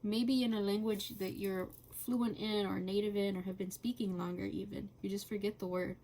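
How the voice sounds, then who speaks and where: A woman chatting casually and rambling while coloring, halting often with fillers and laughing between thoughts. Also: mid-range voice, fairly even intonation, warm tone, slight background noise. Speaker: channel host.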